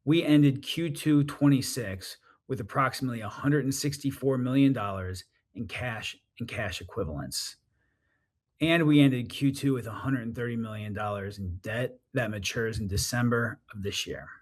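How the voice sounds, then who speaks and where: A man's voice: mid-range voice, normal speed. A male finance executive reads prepared quarterly figures in a mid-range voice. His delivery is formal and methodical, as on a corporate earnings call. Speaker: chief financial officer. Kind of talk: scripted financial results reading.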